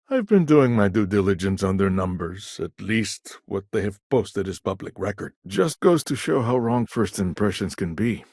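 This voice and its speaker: A man acting a shrewd, self-assured businessman in a video game, with a wry, smooth-talking manner. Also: fairly low pitch, no room sound, studio quality, exaggerated voice.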